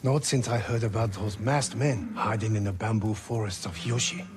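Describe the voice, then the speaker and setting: A man's voice: on the low side, fairly even intonation, moderate pace, background noise, lightly acted. A man acting a superstitious, uneasy commoner in a video game, telling ominous folklore with deference. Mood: ominous, wary.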